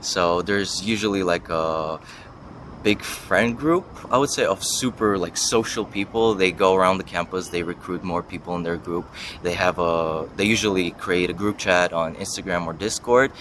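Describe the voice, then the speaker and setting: A man's voice: deep voice, fairly bright tone, a little hiss or hum. A young man giving casual interview answers as a university student, thinking aloud and hedging as he goes. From Russia and the US. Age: young adult.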